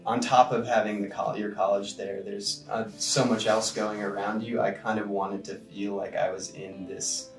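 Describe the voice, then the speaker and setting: A young man from Connecticut chatting on a podcast in a relaxed, rambling way. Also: on the low side, moderate pace, hardly any pauses, faint music behind. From Connecticut, USA. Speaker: podcast co-host. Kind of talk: casual chat. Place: conference room, indoors. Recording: roomy sound from a distant mic.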